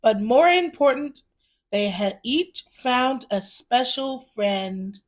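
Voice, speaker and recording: A woman reads a children's story aloud, narrating expressively and deliberately for young listeners. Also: on the high side, lively intonation.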